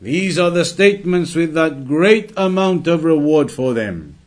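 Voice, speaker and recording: A man's voice: on the high side, expressive, unhurried. A man giving a religious lesson as a teacher, moving between reciting quotations and explaining them.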